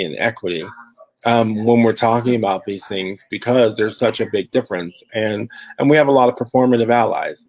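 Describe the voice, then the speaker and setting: A man's voice: lowish voice, low-quality recording. A man from Phoenix speaking as a panelist in a discussion over a video call, conversational, with many fillers and restarts. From Phoenix, Arizona. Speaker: panelist, diversity and inclusion professional.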